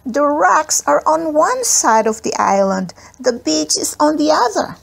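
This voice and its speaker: A woman voicing a small, frightened boy in a children's storybook, squeaky and whiny with worry. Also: big pitch swings, measured pace, vocal fry, heavily acted. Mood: complaining.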